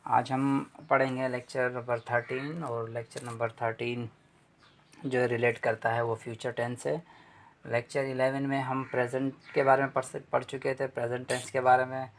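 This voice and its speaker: A man's voice: mid-range voice, little pitch movement, moderate pace, slight background noise. A man teaching English grammar in a lecture, explaining patiently, repeating points and asking rhetorical questions.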